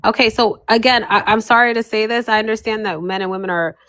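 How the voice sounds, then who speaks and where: A woman's voice: fairly high pitch, fast, a bit bright. A woman, hosting a casual group chat in a sassy, emphatic way and calling people honey. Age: 40s.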